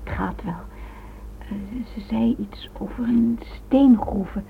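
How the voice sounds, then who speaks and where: A woman's voice: on the high side, takes it slowly, creaky, heavily acted. A woman acting a dazed, bewildered character in a radio play, faint and groggy. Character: dazed, bewildered woman recovering from being drugged. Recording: narrow lo-fi radio recording, slight noise.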